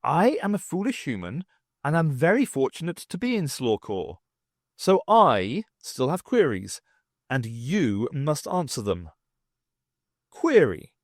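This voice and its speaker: A man's voice: on the high side, huge pitch swings, unhurried, no echo, studio quality, heavily acted. A man voicing a bold, self-satisfied male academic in an audiobook, with a showy, declaiming manner. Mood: inquisitive.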